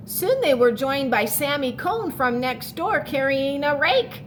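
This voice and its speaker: A woman's voice: sits high, big pitch swings, measured pace, a little hiss or hum. A woman reading a picture book aloud to children like a teacher, expressively stretching key words and adding explanatory asides for young listeners.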